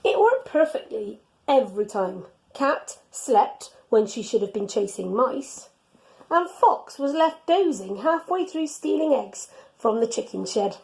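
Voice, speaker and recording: A woman reading a children's storybook aloud in an expressive, teacherly way. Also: fairly high pitch, unhurried, gravelly.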